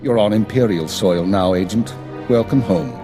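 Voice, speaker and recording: An actor playing a stern, authoritative Imperial intelligence chief in a video game, speaking in a measured, formal, commanding way. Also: male voice, lowish voice, music in the background, natural voice. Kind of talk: scripted video game dialogue.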